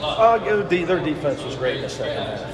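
A man's voice: a little high, very animated, fairly quick, over a noisy crowd. Blunt middle-aged man coaching at a press scrum. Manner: blunt and curt, repeating short sentences. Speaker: head basketball coach. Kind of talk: postgame press conference. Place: small press backdrop area, indoors. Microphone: cluster of microphones and phones close up.

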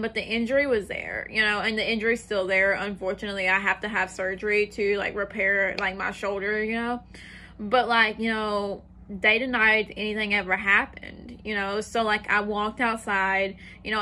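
A woman's voice: medium pitch, bright, forceful tone. A young woman telling a story in a casual, rambling way, filled with "like" and "you know", sitting on the floor of a small room and talking to her phone. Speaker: vlogger.

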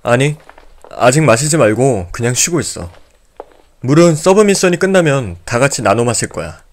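A man voicing a calm young male leader in an amateur audio drama, speaking firmly and in a measured way. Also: medium pitch, close to an everyday voice.

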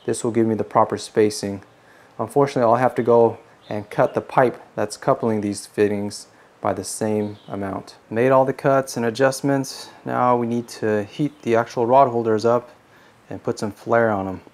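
A man narrates a hands-on DIY tutorial in a dark, relaxed voice. His delivery is plain-spoken and instructional, like a host guiding viewers through a build step by step. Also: mid-range voice, moderate pace. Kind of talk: scripted how-to narration.

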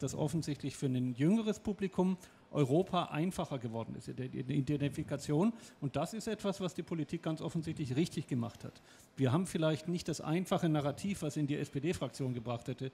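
A middle-aged man speaks into a handheld mic at a stage panel discussion, laying out his points confidently and weaving in anecdotes. His voice sits fairly high for a man and has a husky, slightly rough edge. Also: a little mellow. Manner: confident, explanatory, tells anecdotes. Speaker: panelist, advertising expert. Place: stage of a lecture hall. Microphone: handheld microphone.

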